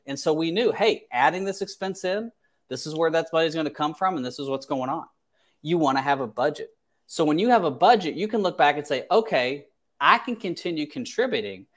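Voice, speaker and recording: A man in his thirties hosting an advice livestream as a financial advisor, speaking emphatically and repeating phrases for stress. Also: on the high side. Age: 30s. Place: small indoor home office.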